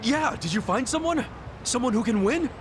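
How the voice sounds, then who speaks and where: A man's voice: very high voice, animated, normal speed, background noise. An actor playing a hot-headed, earnest underdog yakuza in an English video game dub, blunt and colloquial in his delivery. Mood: excited.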